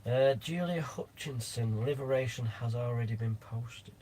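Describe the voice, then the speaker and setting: A man's voice: mid-range voice, measured pace, warm tone, a little hiss or hum. West Lancashire male co-host, dry, reads the chat. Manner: reads out viewer comments, dry banter, swears casually. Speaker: live stream co-host. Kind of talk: casual live stream chat.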